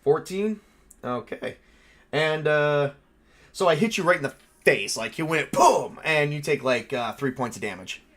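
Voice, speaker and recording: A man's voice: fairly high pitch, animated, unhurried, a bit bright. A man acting as game master, narrating a tabletop game casually and dramatizing events in informal words.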